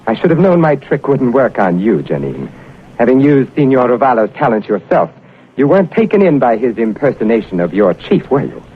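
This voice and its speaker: An actor playing a weary, sardonic wartime secret agent in a measured 1940s radio drama style, on an old hissy recording. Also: male voice, medium pitch, sing-song, husky, narrow, tinny audio. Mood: bitter, restrained.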